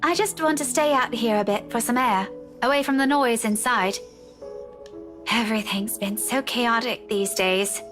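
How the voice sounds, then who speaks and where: Actress as weary young woman, higher-pitched voice. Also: normal speed, over music, lightly acted. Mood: weary, resigned. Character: worn-down, resigned young woman, frank with a friend. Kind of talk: visual novel voice acting.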